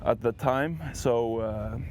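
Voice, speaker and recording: A young Icelandic man in his twenties talks easily about himself, his voice mellow and warm at an ordinary pitch. His chat is loose and friendly, with restarts and "you know" along the way. A faint hum of noise sits behind him. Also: slow pace. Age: 20s. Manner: relaxed, chatty, frequent restarts and "you know what I mean". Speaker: football player. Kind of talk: casual interview.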